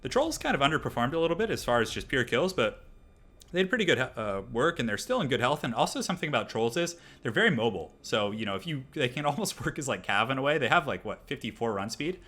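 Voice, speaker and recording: A man with a voice hoarse from a cold, casually commentating a replay of a strategy game for viewers. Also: mid-range voice, sing-song, fast, fairly bright tone. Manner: casual, chatty, addresses viewers as guys. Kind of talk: gameplay commentary.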